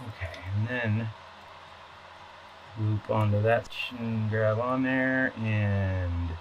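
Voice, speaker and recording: A man's voice: fairly low pitch, steady tone, extremely slow, highs cut off. A man talking casually and unscripted as he does hands-on repair work, muttering to himself and answering his own questions. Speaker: electronics repair hobbyist hosting a video. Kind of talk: casual unscripted talk while working. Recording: clear background noise, clicking and clatter.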